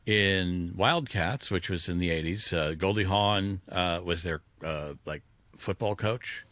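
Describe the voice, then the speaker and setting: A man's voice: low voice, measured pace, a bit bright, dry recording. A man co-hosting a podcast, talking casually about films in a rambling way, often interrupting himself. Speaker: podcast co-host. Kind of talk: casual film discussion.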